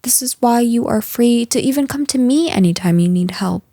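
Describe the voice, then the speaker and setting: A woman playing a caring female boss in an intimate audio roleplay, speaking gently and earnestly with hesitant pauses. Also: fairly high pitch, lively intonation, no echo, clean studio sound. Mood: reassuring, earnest.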